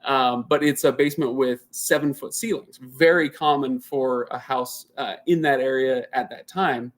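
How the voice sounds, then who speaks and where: Chatty man thinking out loud. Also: medium pitch, steady tone, normal speed. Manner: chatty, thinks out loud while reading viewer comments; rambling, restarts sentences often. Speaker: channel host. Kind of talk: casual live Q&A.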